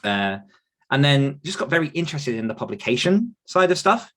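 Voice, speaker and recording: A man's voice: mid-range voice, sing-song, normal speed, fairly bright tone. A British man in his thirties telling his own career story in a casual, rambling, chatty interview style. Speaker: podcast guest, author and writing coach.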